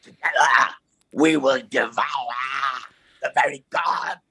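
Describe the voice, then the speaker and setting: A voice actor, a man with a very rough, sharp voice, chats and performs playfully. His delivery is slow and animated, with plenty of gravel. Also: medium pitch, faint music behind. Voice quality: husky, forceful. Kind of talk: playful chat and performed game lines.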